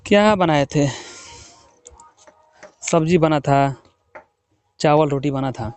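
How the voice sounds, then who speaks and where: A man's voice: on the high side, slow, a little mellow, smooth, soft background music. A young man from the of India, chatting casually with his wife, teasing and coaxing. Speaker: husband working away from home. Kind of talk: casual phone chat.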